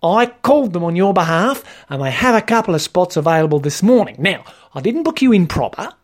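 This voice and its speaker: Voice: mid-range voice, big pitch swings, brisk, voice pushed up. A narrator voicing a brash, bossy Australian bloke. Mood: blunt, matey. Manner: blunt, matey, hectoring, slangy. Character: brash, bossy, sweary Aussie mate, an adult man.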